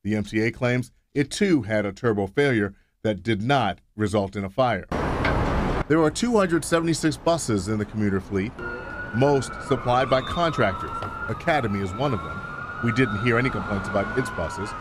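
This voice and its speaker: A middle-aged man reporting an investigative news story in a measured, emphatic scripted delivery. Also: fairly low pitch, expressive, heavy background noise.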